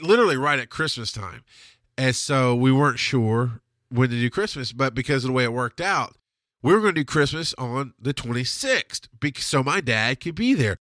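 A man's voice: mid-range voice, a bit bright, no echo, clean studio sound. Stuffy-nosed man rambling on a podcast. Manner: rambling, profane, laughs often. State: stuffy nose. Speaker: podcast host. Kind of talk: casual storytelling monologue. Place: home studio.

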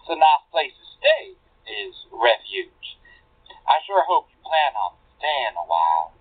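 A man's voice: medium pitch, sing-song, unhurried, husky, phone-quality sound. A man playing a flirtatious, self-important small-town mayor in a radio play, with a folksy, smug manner. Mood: lecherous.

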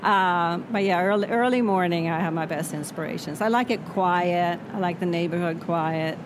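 A woman whose first language is Swedish, an author answering interview questions in a reflective way that often trails off softly. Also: on the low side, normal speed. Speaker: author guest. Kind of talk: interview conversation. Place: noisy spot near traffic. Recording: background traffic noise.

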